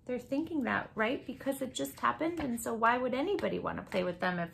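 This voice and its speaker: Bright-voiced woman reading to children. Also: fairly high pitch, a little fast, creaky. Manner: expressive, asks the listening children questions. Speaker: children's read-aloud host. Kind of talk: animated storybook narration with asides to children.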